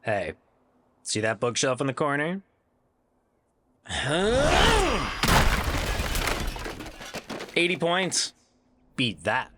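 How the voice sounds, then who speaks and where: A man's voice: a little high, wildly swooping pitch, extremely slow, long pauses, sharp tone, slight background noise, heavily acted. A man playing a laid-back, joking reformed troublemaker in an audio drama, with a casual, wry, reassuring manner. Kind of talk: audio drama character acting.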